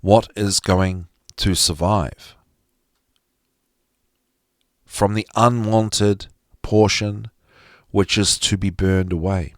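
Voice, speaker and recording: A man giving an expressive, emphatic reading of a psychology lecture, with deliberate phrasing that drops dramatically at the ends of phrases. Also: fairly low pitch, no echo, studio quality. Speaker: podcast host reading aloud from a psychology text.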